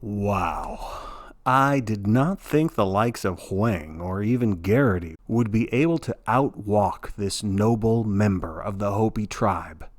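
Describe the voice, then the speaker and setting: Casting: male sports commentator, acted by a man, folksy and blithe, lively swooping delivery, for a dystopian audio drama. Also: fairly low pitch, takes it slowly. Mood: excited, solemn. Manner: folksy, showy, blithely cheerful about grim events. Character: jovial male sports broadcaster of a dystopian death march.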